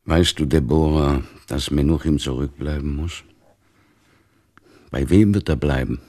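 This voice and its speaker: An actor playing a devout, stern, weary older father in a literary radio play, speaking gravely with a gravelly, husky voice. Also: a bass voice, a little slow, mellow. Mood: resigned.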